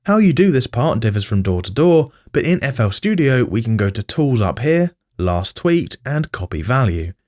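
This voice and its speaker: A man delivers scripted tutorial narration as a host would, with a pitch that moves around in an animated way. His voice sits in a middle register. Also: normal speed, few pauses. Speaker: music production tutorial host.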